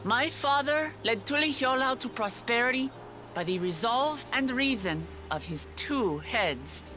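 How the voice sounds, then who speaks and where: A woman's voice: on the high side, pushed and bright. A woman playing an earnest, idealistic young female heir to a throne in a video game cutscene, speaking in heartfelt speeches. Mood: resolute, inviting.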